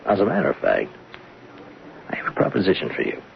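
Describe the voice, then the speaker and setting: Sly, very deep-voiced middle-aged American schemer. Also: male voice, wildly swooping pitch, quick pace, gravelly, slight background noise, full character voice. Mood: smug, scheming. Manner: chuckling, sly, self-assured. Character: smug, persuasive 47-year-old fired adman turned thief. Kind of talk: old-time radio drama acting. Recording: muffled radio broadcast recording with music.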